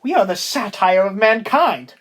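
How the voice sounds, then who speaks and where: Male actor for lovestruck lieutenant, period audio drama; high voice, earnest, aggrieved, stagey and formal. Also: expressive, normal speed, a bit bright. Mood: despairing, declamatory. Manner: declamatory, formal period diction. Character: earnest, aggrieved young gallant lieutenant in love.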